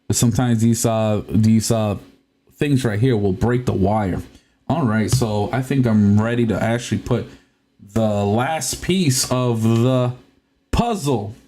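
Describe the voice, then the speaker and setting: A man's voice: on the low side, takes it slowly, warm tone. A young man from Puerto Rico, a native Spanish speaker, chatting casually on a live stream while he works on a console, often laughing and tripping over words. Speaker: livestream host.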